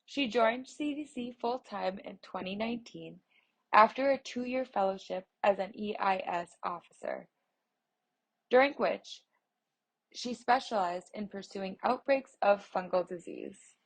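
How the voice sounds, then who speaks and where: Woman reading out a news story, mid pitch, steady and measured, restarts a word here and there. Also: poor audio. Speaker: voice interpreter reading an article. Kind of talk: reading a news feature aloud.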